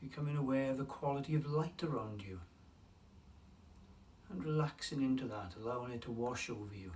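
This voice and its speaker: A man leading a guided mindfulness meditation in a calm, unhurried way, leaving long pauses between phrases. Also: mid-range voice. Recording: distant mic with faint room noise.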